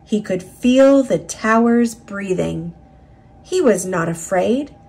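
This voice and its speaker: Husky, expressive woman reading a storybook. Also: mid-range voice, slow pace. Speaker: storybook reader. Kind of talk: expressive read-aloud narration of a children's picture book.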